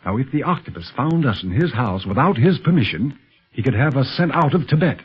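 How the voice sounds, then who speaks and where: An actor playing a calm, protective American secret police agent in a 1930s radio adventure serial, speaking with earnest authority in a husky voice. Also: male voice, mid-range voice, a little fast. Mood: measured, cautious. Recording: muffled, scratchy 1930s radio recording.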